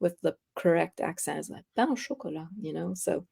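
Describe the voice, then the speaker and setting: A woman's voice: sits low, lively intonation, a little fast, gravelly, darkish tone, breathy edge. A young woman guest chatting casually in an interview, rambling with frequent laughs. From United States, raised partly in France. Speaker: interview guest, graphic artist.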